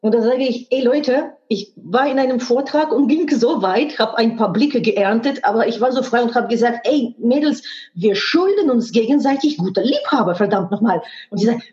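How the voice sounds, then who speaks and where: A woman's voice: on the high side, expressive, normal speed, darkish tone. A woman speaking a language that is not her first, a guest in a candid conversation, talking frankly and emphatically with earthy expletives and restarting as she searches for words. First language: not German. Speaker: guest, sex educator and hypnotherapist. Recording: dull, muffled audio.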